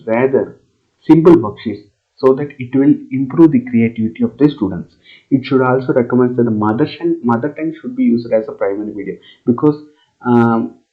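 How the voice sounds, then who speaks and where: A man from India explains a news article as an exam coaching lecture. His voice sits at an ordinary middle pitch, and the audio is thin and tinny, like a phone line. He pauses often and keeps checking in with his listeners. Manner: many fillers and "right?" checks, repeats phrases while explaining. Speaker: current affairs tutor.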